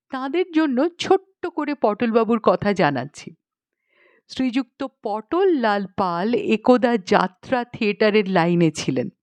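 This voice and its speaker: A woman narrating a humorous audiobook story in an expressive, scripted reading style. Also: fairly high pitch, no echo.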